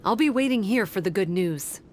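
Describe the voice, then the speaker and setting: A woman playing a calm, analytical guide in a video game, speaking in a measured, formal way. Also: medium pitch, slight background noise, close to an everyday voice. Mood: calm, helpful. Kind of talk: scripted game cutscene dialogue.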